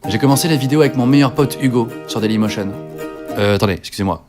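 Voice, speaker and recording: Fast-talking, self-mocking young man. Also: mid-range voice. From northern France. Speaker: video creator. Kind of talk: comic autobiographical voice-over. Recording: voice-over with music behind.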